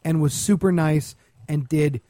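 A man chatting casually as a talk show host, telling anecdotes in an animated way and acting out the dialogue. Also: fairly high pitch, unhurried, dry recording, clean studio sound. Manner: animated retelling with acted-out dialogue. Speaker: talk show host and stand-up comedy club insider. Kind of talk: casual storytelling chat.

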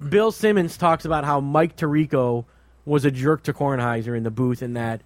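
A man's voice: mid-range voice, normal speed, no room sound. A man co-hosting a podcast in casual, profane conversation, rambling and interrupting himself as he gives blunt opinions. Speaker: podcast co-host. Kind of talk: casual chat, reviewing a book.

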